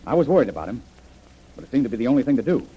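An actor playing a decisive, troubled adventurer man with a husky voice in an old-time radio adventure. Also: mid-range voice, big pitch swings, very fast. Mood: worried. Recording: dull old radio recording.

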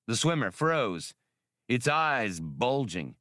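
A man narrating an adventure audiobook in a dramatic, deliberate and expressive scripted style. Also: medium pitch, dry recording, clean studio sound.

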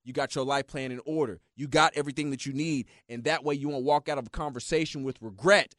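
A man's voice: mid-range voice, steady tone, quick pace, fairly bright tone, no echo, studio quality. A man hosting a motivational talk show, preaching in a hyped, repetitive way. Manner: preachy, hyped, repeats "yeah, yeah, yeah" and "yo". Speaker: motivational radio host.

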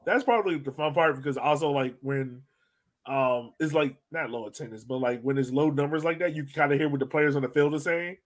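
A man's voice: on the high side, brisk. A man hosting a soccer fan podcast in casual chat, informal and slangy.

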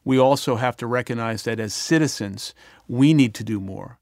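A man's voice: mid-range voice, normal speed, a little mellow, no room sound, clean studio sound. A middle-aged man speaking earnestly as an interview guest, with some fillers. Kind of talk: reflective conversation.

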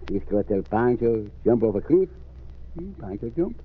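Casting: loyal, jolly Mexican cowboy sidekick, broken English, very animated delivery, muffled vintage radio sound. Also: male voice, fairly low pitch, a little slow, slightly rough, full character voice. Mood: jolly, admiring. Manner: simple broken English, refers to himself by name. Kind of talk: old-time western radio acting. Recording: muffled, narrow-band old radio recording.

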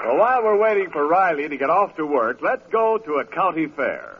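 A man's voice: a little high, very animated, brisk, noisy background. A male announcer reading a scripted sponsor message in 1940s radio style. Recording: muffled vintage radio recording.

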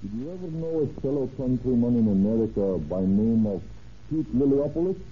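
A man's voice: medium pitch, animated, brisk, natural voice. Man acting a stern probing lawman, clipped, insistent, vintage radio drama, narrow band, faint hiss. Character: stern, probing American lawman making an arrest. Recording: tinny, hissy old radio recording.

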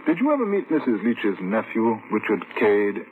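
Police inspector: measured, probing, lively inflection, old radio drama with hiss. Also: male voice, lowish voice. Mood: polite questioning. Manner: formal, measured, probing questions. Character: methodical, courteous male police inspector narrating his investigation. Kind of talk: 1940s-1950s radio drama acting and first-person narration. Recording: tinny, hissy vintage radio recording.

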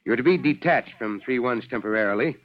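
A man's voice: mid-range voice, animated, brisk, lightly acted. An actor playing a commanding yet fatherly fire chief in a 1940s radio drama. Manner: barking orders, then fatherly. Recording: dull old radio recording.

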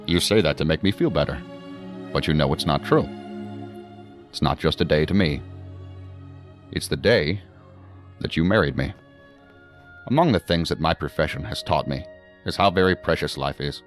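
A senior federal director in a futuristic radio play. His voice is exceptionally deep, and he talks with measured, formal authority. Light music sits underneath. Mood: tender, solemn. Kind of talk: audio drama acting.

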